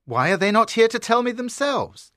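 A fiery, proud knight from a storybook tale, acted out by a man. He declaims emphatically in a forceful, animated voice. The sound is dry. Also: extremely high pitch, normal speed, dry recording, heavily acted. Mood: eager. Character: hot-headed, vengeful male knight, fiercely proud. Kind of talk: dramatised storybook acting.

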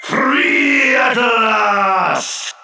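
A man voicing an enormous, furious, vengeful genie in a storybook tale, with a booming, thunderous, roaring delivery. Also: big pitch swings, gravelly, reverberant, far from the mic, a crowd in the background, background noise, full character voice. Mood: menacing, roaring. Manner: roaring, drawn-out menacing delivery.

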